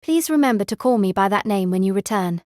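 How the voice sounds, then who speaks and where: A woman playing a cold, deadpan young senpai. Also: mid-range voice, quick pace, dry recording, clean studio sound, close to an everyday voice. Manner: flat, cold, demanding. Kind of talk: amateur audio drama acting.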